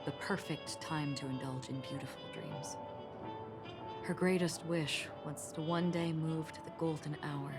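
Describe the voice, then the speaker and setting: A woman voicing a calm, solemn, forgetful swordswoman wanderer in a video game, speaking in a grave, measured, reflective way. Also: low voice. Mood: wistful, reflective. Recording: loud music under the voice. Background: loud music.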